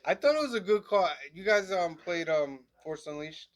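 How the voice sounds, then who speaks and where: A man co-hosting a casual review chat, with a stuffy, nasal voice from allergies and a relaxed, chatty manner full of "um"s. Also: high voice, normal speed. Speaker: podcast co-host.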